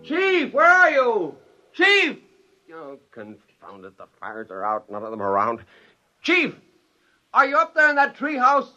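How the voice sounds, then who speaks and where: An exasperated American father and inventor in a 1950s radio drama. His delivery is theatrical and forceful, swinging widely in pitch, on a muffled old broadcast recording. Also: huge pitch swings, unhurried, faint music behind, full character voice. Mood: frantic.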